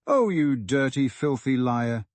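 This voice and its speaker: A man's voice: mid-range voice, sing-song, unhurried, darkish tone, dry recording, pitched above the performer's own voice. A man voicing a frightened, indignant man who protests and accuses melodramatically in a detective novel. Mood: indignant, accusing.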